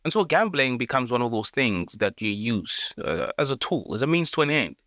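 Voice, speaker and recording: A man's voice: medium pitch, very animated, normal speed, fairly bright tone, no room sound, clean studio sound. A South African man hosting a documentary-style video, speaking earnestly and directly to the viewer. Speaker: video essay host. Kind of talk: scripted documentary narration.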